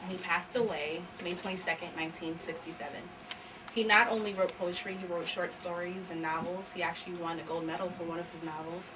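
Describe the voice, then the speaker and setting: A woman's voice: on the low side, steady tone, a little fast, noisy background. A woman presenting poetry to a live library audience, speaking plainly and putting questions to the listeners. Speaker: actress and poetry reader. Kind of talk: addressing a live audience between poems. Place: library event room. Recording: distant mic, loud piano music. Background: piano music.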